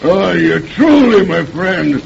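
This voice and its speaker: An actor playing a simple, loutish, emotional pirate brute with childish devotion and a rough manner, in old radio drama style. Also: male voice, high-pitched, big pitch swings, a crowd in the background, heavily acted. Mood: earnest. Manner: slow, rough, pleading or blustering. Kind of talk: old-time radio horror drama acting. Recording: muffled, hissy old radio recording.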